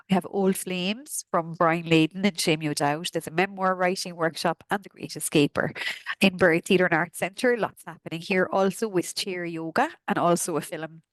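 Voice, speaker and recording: Hoarse Kerry woman with a lowish voice. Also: dry recording. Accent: Kerry Irish. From Kerry, Ireland. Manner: chatty, friendly, frequent ums and uhs. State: hoarse from a sore throat. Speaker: arts office presenter. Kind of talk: walking through an events newsletter.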